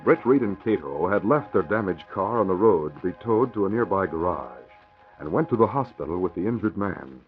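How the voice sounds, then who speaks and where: A man's voice: sits low, lively intonation, brisk, over music. Dramatic American male radio announcer, sweeping intonation. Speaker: announcer and narrator. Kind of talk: dramatic 1940s radio narration. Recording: dull, faintly noisy old radio recording.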